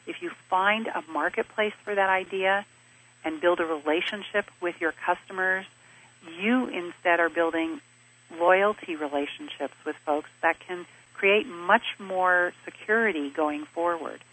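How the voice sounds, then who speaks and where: A woman who grew up in Switzerland, answering interview questions in a measured, explanatory way and stringing her points into lists. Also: fairly low pitch, a bit bright, slightly rough, low-quality recording. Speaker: interview guest, business advisor. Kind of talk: thoughtful conversational interview answers.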